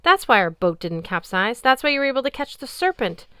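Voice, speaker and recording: A woman voicing a quick-thinking young woman who speaks with urgent, tense strain. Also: on the high side, big pitch swings, a bit bright, clear, resonant tone, dry recording, studio quality, pitched above the performer's own voice.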